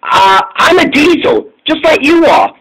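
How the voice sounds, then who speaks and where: A sly storybook engine, playing it friendly while bluffing, his voice pitched up and lively, as a man puts him on. It sounds bright and breathy. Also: unhurried, full character voice, rough recording. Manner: hesitant then chummy, chuckling. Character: sly young male engine in disguise.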